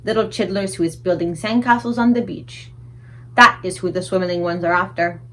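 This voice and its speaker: A woman's voice: lowish voice, moderate pace, fairly bright tone, lightly acted. A woman voicing a kindly, humble male giant in a children's storybook, speaking folksy broken grammar with muddled words. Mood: explaining. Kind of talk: children's storybook read aloud.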